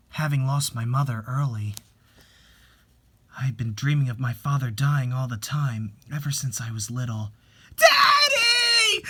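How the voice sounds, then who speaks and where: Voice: medium pitch, a little mellow, slight background noise. A storyteller giving a scripted, dramatic narration of a sad manga tale.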